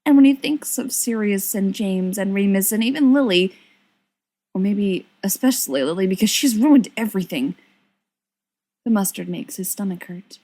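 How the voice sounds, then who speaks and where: A woman reading a story aloud as an expressive narrator. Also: medium pitch, moderate pace. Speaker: volunteer audiobook reader. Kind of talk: expressive scripted fiction narration.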